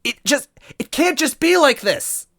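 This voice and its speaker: A man's voice: very high-pitched, expressive, measured pace, vocal fry, a bit bright, dry recording, clean studio sound, voice pushed up, full character voice. A man voicing a distraught, defiant little mushroom girl in a story reading, pleading and argumentative. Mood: desperate, upset.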